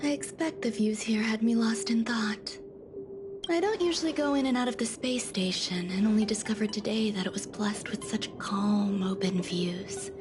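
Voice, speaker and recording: An actress voicing a serene, soft-spoken woman scientist in a video game, gentle, formal and clinically detached in her measured delivery. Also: mid-range voice, noisy background, close to an everyday voice. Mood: serene, wistful. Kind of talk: video game character dialogue.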